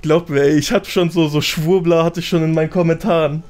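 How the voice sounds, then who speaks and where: A man's voice: on the high side, steady tone, a little fast, darkish tone. A man with a colloquial, slangy way of explaining things, chatting casually as a guest on a gaming podcast. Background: background music.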